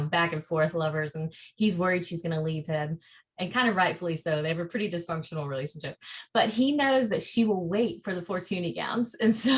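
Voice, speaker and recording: A woman, a design historian, explaining her subject in a casual panel conversation, animated and laughing as she talks. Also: mid-range voice.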